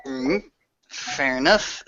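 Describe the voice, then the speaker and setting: A man narrating as game master in a casual, halting way, searching for his descriptions. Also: medium pitch, over a noisy crowd. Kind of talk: tabletop roleplay narration, casual. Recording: muffled, lo-fi online voice call.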